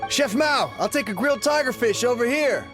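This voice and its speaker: A jovial diner from a video game. His voice is high for a man, with a teasing, casual delivery over clear music. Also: expressive, a little fast. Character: jovial, teasing male restaurant customer.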